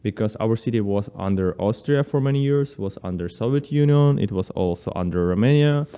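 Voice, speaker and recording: A man from Ukraine speaks English with a Ukrainian accent in a casual interview. His voice sits fairly low, smooth and dark. He talks in halting phrases with plenty of "like," and the room leaves a long reverb behind him. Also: expressive. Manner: halting non-native English, uses "like" as filler. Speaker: guest.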